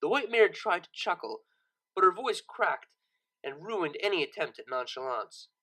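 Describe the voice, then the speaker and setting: Audiobook narrator, mid-pitched, bright and forceful, dramatic deliberate phrasing. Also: very animated, low-quality recording. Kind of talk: expressive scripted story narration.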